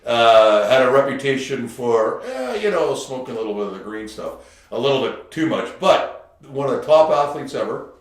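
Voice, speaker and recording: A Canadian man hosting a podcast, chatting casually and telling brash, animated stories with plenty of swearing. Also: medium pitch, normal speed. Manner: brash, animated storyteller who swears freely. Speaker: podcast host. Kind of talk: casual interview chat. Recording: very echoey room.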